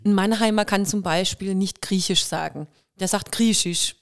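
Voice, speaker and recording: A woman's voice: mid-range voice, moderate pace. A woman from Kirrlach in Germany, an actress and singer, telling stories as a guest in a casual podcast interview, with a light hint of Kurpfalz dialect. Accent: light Kurpfalz dialect touches. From Germany, Kirrlach near Waghäusel. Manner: lively storyteller, imitates dialect sounds.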